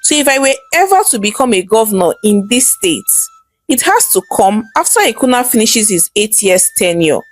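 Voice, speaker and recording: An easygoing, affectionate husband voiced by a female narrator explains patiently with expressive intonation. It is storybook dialogue with faint music underneath. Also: a little high, normal speed. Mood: fond, explaining. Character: calm, easygoing husband, aspiring politician.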